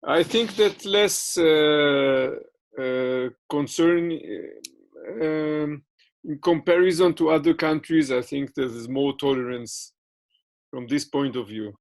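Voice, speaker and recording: A man's voice: a little high, slow. A man giving interview answers in English, which is not his first language, with many fillers and restarts.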